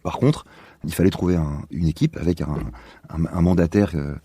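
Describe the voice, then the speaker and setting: A man speaking as an interview guest, explaining in a halting, conversational way as he searches for words. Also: low-pitched, warm tone, slightly rough, dry recording.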